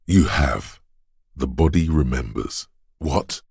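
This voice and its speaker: A grave, stern narrator speaks as the body's inner voice of endurance in a video game. The voice is exceptionally low, full of gravel and very husky. Each line is blunt and deliberate. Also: male voice, animated, darkish tone, no room sound, clean studio sound, full character voice. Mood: hard-bitten. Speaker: game voice actor. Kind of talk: scripted second-person game narration.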